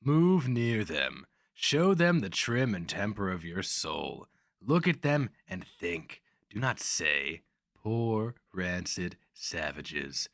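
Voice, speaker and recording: A man's voice: deep voice, big pitch swings, takes it slowly, fairly bright tone, no echo, clean studio sound, full character voice. A man voicing a canny, mocking older slaver and mentor in a dramatic fantasy reading, needling and emphatic. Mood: wry instruction.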